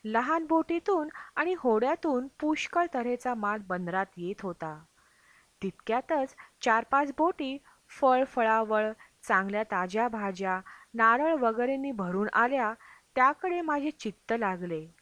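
Higher-pitched woman reading an audiobook. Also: lively intonation, measured pace, a bit bright, dry recording, poor audio. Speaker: audiobook reader. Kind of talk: scripted narration of a travel memoir.

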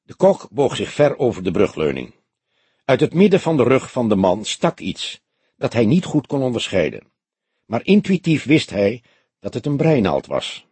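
A man with a husky voice reading a detective novel aloud as scripted audiobook narration. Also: medium pitch, wildly swooping pitch, moderate pace, darkish tone, no echo.

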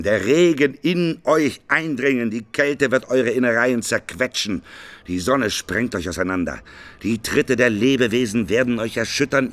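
A man's voice: lowish voice, a bit bright. A man acting in a German radio play as a weary, bitter prophet of doom, sardonic and declamatory. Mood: thundering, declamatory. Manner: sardonic muttering rising to declamatory proclamation.

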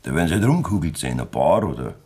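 Lecherous, boastful Bavarian farmer in his fifties; very low husky voice, swinging delivery, radio play. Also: very animated, racing, dark tone, heavily acted. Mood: gruff, boastful. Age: middle-aged. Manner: boastful, coarse, earthy. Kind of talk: dialect radio drama acting.

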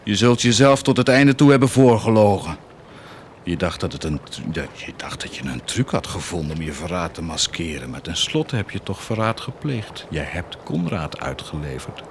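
A man's voice: sits low, normal speed, slight background noise, natural voice. An actor playing a cynical, blasphemous army commander who is proud of his wickedness, in a theatrical, declamatory radio drama style with defiant outbursts. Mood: brooding, scornful. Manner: declamatory, defiant, swinging from muted menace to outbursts.

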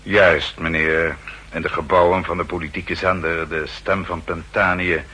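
An actor playing a formal, dryly polite aide to a spymaster in a 1960s radio drama, deferential, measured and precise. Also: male voice, sits low, level tone, sharp tone, slightly rough, full character voice. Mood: measured briefing. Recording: 1960s radio recording, faint hum and noise.

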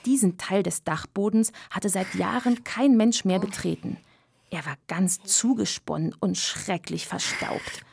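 A woman's voice: mid-range voice, a little slow, vocal fry, a little mellow, slight background noise. A woman narrator reading a scripted children's story in a radio play.

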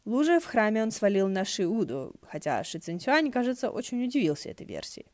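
A woman's voice: mid-range voice, sing-song, fairly quick, darkish tone, no room sound, clean studio sound. A woman narrating fiction from a script as an audiobook reader, in a wry storytelling style.

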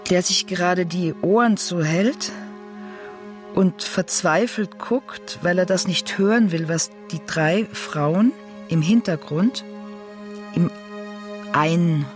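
Casting: woman, unscripted and colloquial, feeling her way through a description, clear music underneath. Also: on the low side, darkish tone. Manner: hesitant, tentative, colloquial. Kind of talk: spontaneous, halting description of a picture.

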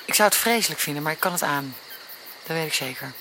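An actress playing a hurt but resolute wife who talks directly and confrontingly in a radio drama. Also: female voice, deep voice, lively intonation, quick pace, pushed and bright, a little hiss or hum, heavily acted, poor audio. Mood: hurt, firm. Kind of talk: Dutch radio drama acting.

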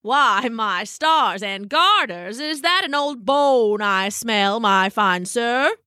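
A woman doing a pompous, genteel raccoon gentleman. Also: a little high, lively intonation, clear, resonant tone, no room sound, clean studio sound, full character voice. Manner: genteel, flowery and slow. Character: tubby, pompous male raccoon, overly polite.

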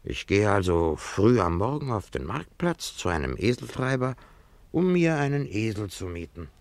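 A man's voice: fairly low pitch, very animated, normal speed, no room sound. A man playing an irritable, sardonic dentist in a German radio play, narrating theatrically in clipped, emphatic phrases. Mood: grumbling narration. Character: irritable, wine-loving male dentist in an ancient town.

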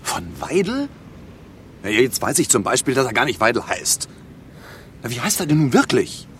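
An actor playing a fussy, nervy refugee in a radio drama, agitated and halting, with a self-important manner. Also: male voice, medium pitch, big pitch swings, a bit bright, a little hiss or hum. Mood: puzzled. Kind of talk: German radio drama dialogue.

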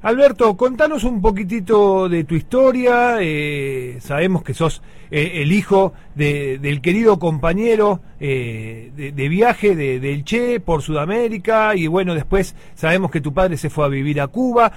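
An Argentine male radio host with a notably high voice, talking in a chatty, animated way and filling gaps with hesitations. He speaks quickly when he gets going. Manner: lively, chatty, many fillers. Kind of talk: radio interview.

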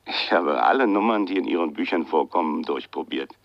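An actor playing a secretive anonymous caller in hiding in a radio crime drama, measured, knowing and confiding, in with a gravelly voice. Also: male voice, rumbling low, animated, no echo, full character voice. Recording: dull, dry, band-limited telephone-style radio recording.

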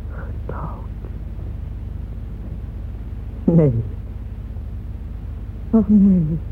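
An actress playing a grieving, lovesick woman patron who recalls her heartbreak in a halting radio drama monologue. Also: low voice. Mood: shaken. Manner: hushed, halting, repeats words in anguish. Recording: muffled phone-like old radio recording, noisy.

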